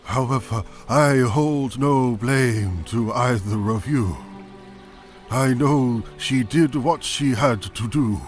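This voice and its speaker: A man playing a benevolent, solemn aging fish-folk king, stately and measured, in a fantasy audio drama. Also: medium pitch, faint music behind, close to an everyday voice. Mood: gentle, sorrowful.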